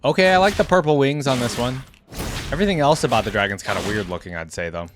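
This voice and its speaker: A man's voice: medium pitch, lively intonation, fast talker, background noise. A man giving casual reaction commentary on video game character skins, thinking aloud as he goes. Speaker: reaction channel host.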